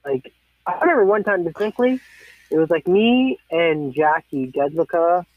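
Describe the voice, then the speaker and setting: A man's voice: on the high side, lively intonation, moderate pace, no room sound. A man in his thirties telling rambling, profane anecdotes in casual chat. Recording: tinny phone-like sound.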